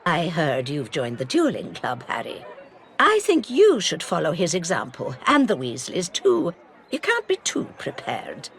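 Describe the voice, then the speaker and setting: A stern older British woman professor, brisk and clipped, with intonation that rises and falls widely. The style is video game character acting. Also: low voice, wildly swooping pitch, full character voice. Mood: brisk, concerned.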